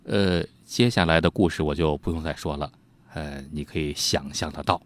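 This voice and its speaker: A man telling old tales informally, in an animated, colloquial way with teasing asides to his listeners. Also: rumbling low, normal speed. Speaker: storyteller, host. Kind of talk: informal storytelling narration of old ghost tales.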